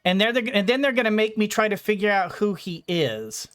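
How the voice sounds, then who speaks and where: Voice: medium pitch, lively intonation, a little fast, fairly bright tone, soft background music. A let's play streamer giving casual game commentary, rambling and thinking aloud.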